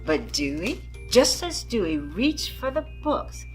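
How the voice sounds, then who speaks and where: A woman reading a children's story aloud in expressive narration with deliberate phrasing. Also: a little high, soft background music.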